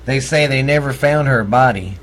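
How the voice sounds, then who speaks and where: A man's voice: mid-range voice, normal speed, fairly bright tone, a little hiss or hum. A middle-aged man with a Texan accent, co-hosting a podcast and telling ghost stories in a blunt, joking, profane way. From Texas. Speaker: podcast co-host. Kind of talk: casual ghost-story chat.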